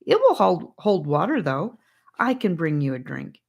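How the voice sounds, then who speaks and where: Lady doing a level-headed storybook boy, measured and reassuring, lots of up and down in the voice. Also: lowish voice, very animated, creaky, darkish tone, slightly rough, dull audio, exaggerated voice. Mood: practical. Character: calm, sensible boy leading younger children.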